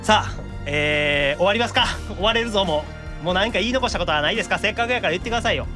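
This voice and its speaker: Animated, high-pitched Kansai man hosting. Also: racing. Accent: Kansai Japanese. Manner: teasing straight-man retorts. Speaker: podcast host. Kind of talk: lively casual radio-show banter. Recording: music under the voice.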